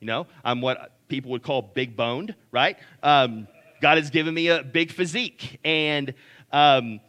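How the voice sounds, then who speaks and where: A man's voice: measured pace, bright, forceful tone. A man, preaching a conversational sermon that is full of restarts and "right?" checks. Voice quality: deep voice. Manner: conversational, repeats words, frequent "right?". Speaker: pastor.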